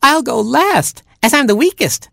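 A storyteller voicing a tiny, excitable boy chick who squeals and whines dramatically. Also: measured pace, vocal fry, no echo, studio quality, full character voice. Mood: squealing. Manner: shrill, squealing and breathless.

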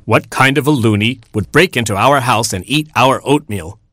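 A man's voice: medium pitch, moderate pace, a bit bright, no echo, pitched above the performer's own voice, lightly acted. A man voicing a proud, grouchy father rooster in a storybook, with pompous delivery. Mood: grumbling. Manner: pompous, declamatory. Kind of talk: storybook character voice.

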